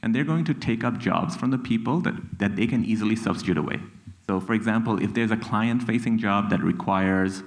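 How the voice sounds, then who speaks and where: Deep-voiced Bangladeshi-accented male panelist. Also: a little fast, gravelly. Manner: explanatory, checks in with "okay?" and "right?". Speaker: economics professor, panelist. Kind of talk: panel discussion. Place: stage at a public event.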